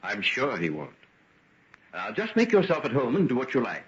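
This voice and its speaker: Courteous gentleman doctor in old radio drama. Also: mid-range voice, wildly swooping pitch. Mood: guarded, courteous. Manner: polite, measured, gently reassuring. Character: genteel male doctor, courteous, soothing, guardedly secretive. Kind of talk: golden age radio drama acting. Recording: dull, noisy vintage radio recording.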